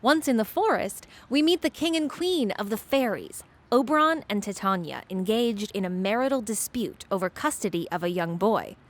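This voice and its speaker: A woman's voice: medium pitch, lively intonation, moderate pace, few pauses. A woman reading scripted narration to introduce a story, as the narrator of an audio drama.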